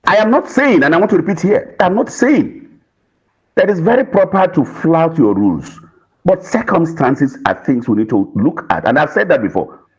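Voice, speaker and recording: High, animated, husky Nigerian man. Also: normal speed, muffled recording. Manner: emphatic, repetitive, with rhetorical questions. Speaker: panel guest on a political TV talk show. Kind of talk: political panel argument.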